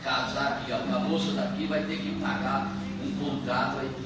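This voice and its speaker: A man's voice: mid-range voice, steady tone, moderate pace, distant mic. A man preaching an anniversary church sermon, joking at first, then building into emphatic preaching full of hallelujahs. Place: church hall. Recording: echoey church from afar with noise.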